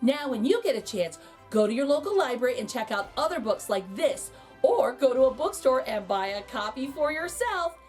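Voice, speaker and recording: A woman reading a picture book aloud to children as an expressive storyteller, with exclaimed asides to her young listeners. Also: sits high, normal speed, faint music behind. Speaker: children's read-aloud host.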